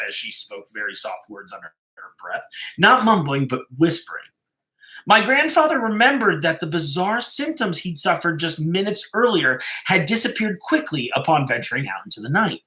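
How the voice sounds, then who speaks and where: A man reading a story aloud as a dramatic storyteller, deliberate and suspenseful, stressing key phrases and dropping to hushed endings. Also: on the high side. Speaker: storyteller, host of a live reading show.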